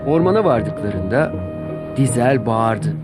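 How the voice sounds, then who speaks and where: A male narrator with a medium-pitched voice tells a children's tale. His delivery is scripted and expressive, and music plays clearly behind him. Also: slow.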